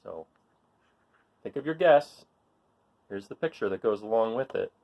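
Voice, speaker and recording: Very animated man reading to children. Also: fairly low pitch, a little slow, gravelly. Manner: informal, question-asking, encouraging, with expressive delivery. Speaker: read-aloud storyteller. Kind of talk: reading a children's riddle picture book aloud, chatting to young listeners.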